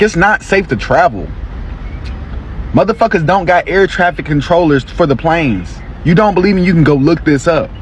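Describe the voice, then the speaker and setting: A man's voice: a little high, a little hiss or hum. A man in a casual, blunt and exasperated rant, talking straight to viewers. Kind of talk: casual social media rant.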